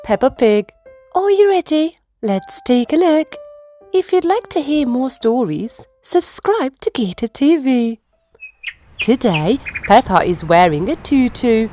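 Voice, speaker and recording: A woman reading a children's storybook aloud in a gentle, sing-song storytelling manner. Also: a little high. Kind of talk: storybook read-aloud for kids. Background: soft piano music.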